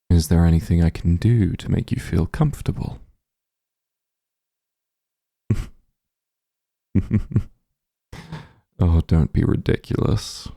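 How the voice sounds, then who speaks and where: A man playing a possessive, doting immortal demon lord in an intimate ASMR roleplay, teasing and smug, speaking softly in a gravelly, breathy voice. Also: low-pitched, no room sound, clean studio sound, full character voice. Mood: gently amused, caring. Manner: slow, soft, teasing coaxing.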